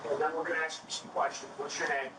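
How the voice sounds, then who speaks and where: A man's voice: fairly high pitch, little pitch movement, fast. A male police officer talking in a stern, blunt, lecturing way on bodycam audio. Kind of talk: stern explanatory talk. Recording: distant, echoey, noisy bodycam audio.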